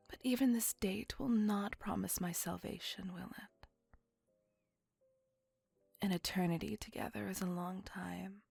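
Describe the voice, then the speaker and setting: A woman plays an ancient, courtly vampire lady in an intimate ASMR roleplay. Her voice is soft, breathy and edged with creak, half whispering. She takes her time over formal, old-world phrasing, refined and quietly possessive. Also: medium pitch, dry recording. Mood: wistful, longing. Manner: formal, archaic, measured phrasing with tag questions. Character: elegant, courtly, possessive centuries-old female vampire on a date.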